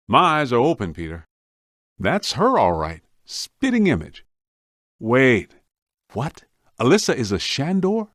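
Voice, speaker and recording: A man's voice: medium pitch, fairly bright tone, dry recording, clean studio sound. A man playing a streetwise, wisecracking ghost hunter in a video game, speaking in a colloquial, wry, plain-spoken way. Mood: subdued, suspicious. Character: streetwise, wisecracking male ghost-hunting team member.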